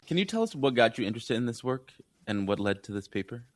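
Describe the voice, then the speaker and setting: A man's voice: medium pitch, sing-song, moderate pace. A man who is a physician, hosting a podcast and interviewing in a conversational style.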